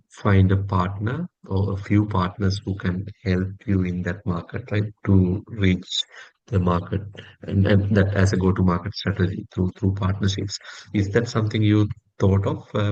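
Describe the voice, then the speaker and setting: A man hosting a business podcast, asking long questions in a measured, hesitant way with many "uh"s and repeated words. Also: low voice, a little mellow, no echo, muffled recording. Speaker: podcast host. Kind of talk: interview questions.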